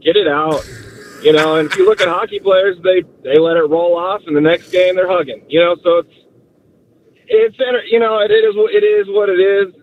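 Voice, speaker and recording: A man's voice: high-pitched, steady tone, a little fast, slight background noise, low-quality recording. A man calling into a sports talk show, hesitant and restarting his sentences. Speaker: caller.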